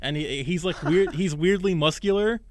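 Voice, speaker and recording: A man's voice: fairly high pitch, moderate pace. A young man hosting a casual chat, explaining his opinions with frequent restarts. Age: young adult. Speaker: podcast host on college radio. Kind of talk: casual chat about games and films. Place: college radio studio.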